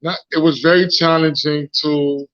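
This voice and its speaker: A man's voice: a little high, steady tone, normal speed, a bit bright, clear, resonant tone. A man in his forties from Haiti with a Haitian accent, a guest speaking frankly in a live interview and often repeating words as he searches for them. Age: 40s. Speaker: guest, founder. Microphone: phone. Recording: lo-fi phone audio.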